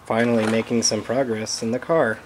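A young man hosting a garage vlog, chatting casually as he works on an engine, relaxed with lots of fillers. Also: mid-range voice, brisk. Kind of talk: casual chat while working on an engine. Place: home garage. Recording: noisy garage recording.

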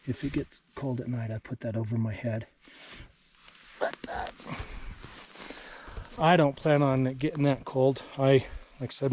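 A man in his thirties vlogging solo from a small tent while camping, talking slowly and sometimes dropping to a whisper. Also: medium pitch. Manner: slow, relaxed, drops to a whisper at night. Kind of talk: casual vlog narration. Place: small tent outdoors in the mountains.

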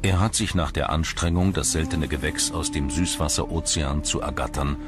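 A man with a low, deep voice narrates a documentary. His delivery is scripted and measured, like storytelling. Prominent music plays behind him. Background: prominent background music.

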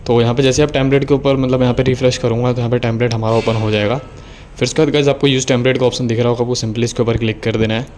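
A man's voice: mid-range voice, little pitch movement, very fast, a little mellow. A man giving a casual, instructional tutorial voice-over, explaining things step by step in a repetitive way. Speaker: video editing tutorial host.

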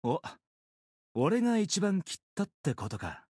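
A man's voice: high voice, huge pitch swings, a little slow, mellow, slightly rough, dry recording, clean studio sound, exaggerated voice. A man playing an easygoing, teasing young swordsman in a mobile game, with a casual, playful drawl. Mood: casual, rueful. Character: easygoing, teasing young swordsman, laid-back surfer temper. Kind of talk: Japanese mobile game character voice lines.

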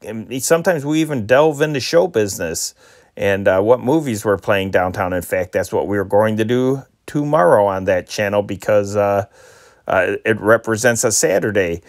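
Rambling American man hosting casually. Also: on the low side. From Chicago, United States. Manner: halting, rambling, hesitant phrasing. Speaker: channel host. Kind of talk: casual unscripted sign-off.

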